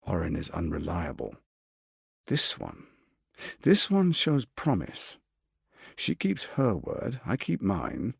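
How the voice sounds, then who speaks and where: Silky game villain, political mastermind, dramatic pitch swings, gravelly edge, condescending, grandiose. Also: male voice, wildly swooping pitch, slow, long pauses, no room sound, studio quality, heavily acted. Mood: cool, appraising. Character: scheming, smooth, menacing male tyrant lord and political mastermind. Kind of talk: theatrical fantasy video game voice acting.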